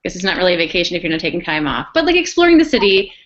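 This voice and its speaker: A woman's voice: fairly low pitch, big pitch swings, very fast, fairly bright tone. A young woman speaking casually and with animation on a Q&A panel. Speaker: panelist, former English teacher. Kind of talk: Q&A panel talk. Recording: dull lo-fi recording.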